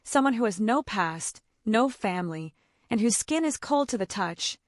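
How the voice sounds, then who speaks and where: Lady telling a folktale, suspenseful and theatrical, really lively pitch, dry studio-like sound. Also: normal speed, no room sound. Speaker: storyteller. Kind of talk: dramatic scripted folktale narration.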